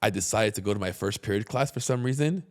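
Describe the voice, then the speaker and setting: A man's voice: lowish voice, quick pace. A man in his thirties from Queens, New York, hosting a casual chat, blunt and joking, and he swears freely. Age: 30s.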